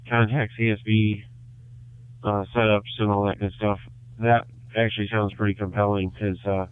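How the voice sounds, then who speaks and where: A low-pitched man talks in an even, level tone, his voice smooth and clean despite coming through a tinny two-way radio. He pauses often and restarts his sentences while he explains things to the host. It sounds like a casual call-in on a ham radio channel. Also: dry recording. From Michigan, USA. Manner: halting, many fillers and restarts. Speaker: caller on a ham radio net. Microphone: handheld radio transmitter.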